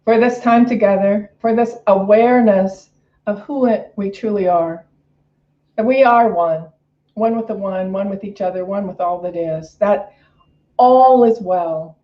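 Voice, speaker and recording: A woman's voice: medium pitch, a little slow. Echoey, muffled woman minister. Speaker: minister leading an online service. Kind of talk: call-and-response affirmation, informal announcements and spoken prayer. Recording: echoey room, dull lo-fi online-meeting audio.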